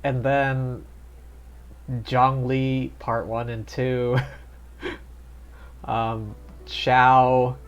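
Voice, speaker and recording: A man's voice: medium pitch, little pitch movement, very slow, pauses often, smooth, lo-fi sound. A man streaming a video game live, chatting casually and reacting aloud with laughter. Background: faint game music.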